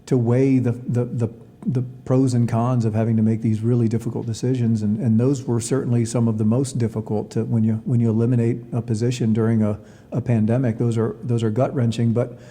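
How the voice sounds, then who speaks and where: Dark-voiced man in a reverberant hall. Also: mid-range voice. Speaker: athletics director being interviewed. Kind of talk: reflective interview answers. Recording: long reverberant hall echo.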